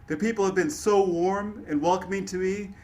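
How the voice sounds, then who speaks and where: Man acting as an earnest, high-voiced male activist orator. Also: fairly even intonation, moderate pace, a little mellow, close to an everyday voice. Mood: reflective, resolute. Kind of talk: dramatic reading of historical dialogue.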